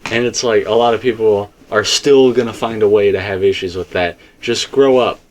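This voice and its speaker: A man's voice: lowish voice, steady tone, normal speed. A man in a casual, rambling fan discussion, repeating himself for emphasis as he co-hosts. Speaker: co-host discussing video game theories.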